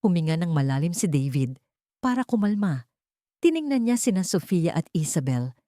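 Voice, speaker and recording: A woman's voice: fairly low pitch, sing-song, moderate pace, mellow, dry recording, clean studio sound. A woman narrating a scripted story in a dramatic audiobook style.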